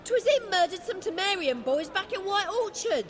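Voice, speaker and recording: A woman's voice: extremely high pitch, expressive, normal speed. A woman playing an agitated, accusing common townswoman in a fantasy game. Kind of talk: fantasy video game acting.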